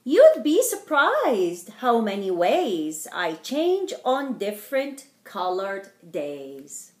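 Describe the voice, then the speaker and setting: Middle-aged woman, children's storytime reader, theatrical delivery, very slow pace, animated intonation, roomy home recording. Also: medium pitch. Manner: theatrical, slow and deliberate, addressing small children. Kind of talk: expressive read-aloud of a picture book for young children. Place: indoor wood-panelled living room.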